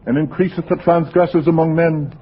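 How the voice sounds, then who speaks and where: An actor playing a sinister, fanatically pious lodger in a 1940s radio drama, preaching in a formal, sanctimonious way. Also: male voice, on the high side, fairly even intonation, brisk, slightly rough. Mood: righteous, stern. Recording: muffled, noisy 1940s radio recording.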